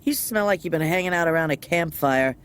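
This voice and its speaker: A woman's voice: sits low, brisk, clean tone, close to an everyday voice. An actress playing a middle-aged, hard-drinking single mother, defensive and foul-mouthed, in an audio drama. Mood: suspicious, defensive.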